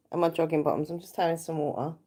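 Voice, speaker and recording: A woman with a slightly croaky voice, chatting casually to livestream viewers and greeting them as she gets onto an exercise bike at home. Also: low-pitched, mellow. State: a bit croaky. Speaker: livestream host.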